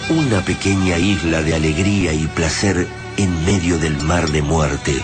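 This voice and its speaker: A man's voice: sits low, a little slow. An Argentine man narrating a science fiction radio serial in a solemn, literary storytelling manner. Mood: grave narration. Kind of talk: scripted radio drama narration. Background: loud music.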